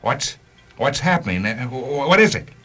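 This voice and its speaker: A man's voice: mid-range voice, big pitch swings, moderate pace, bright, forceful tone, breathy edge, heavily acted. An actor playing a driven, impatient man on a hunt in a science fiction radio drama, urgent and commanding. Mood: excited, startled. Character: driven, impatient man hunting a renegade car. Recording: music behind the voice.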